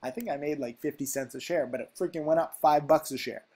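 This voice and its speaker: A man with a congested, stuffy nose talks through trading charts. His voice sits a little high, and he rambles in casual, emphatic bursts, checking in with "okay?" as he goes. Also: fast talker, a little mellow. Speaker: stock trading educator. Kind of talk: casual screen-share chart walkthrough.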